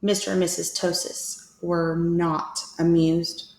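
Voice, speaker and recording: A woman reading a picture book aloud to children as a teacher, with expressive, deliberate storytelling. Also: fairly low pitch. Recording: echoey room.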